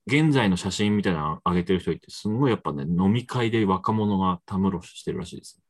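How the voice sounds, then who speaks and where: Low, husky, animated male radio host. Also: fast talker. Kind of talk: casual chat.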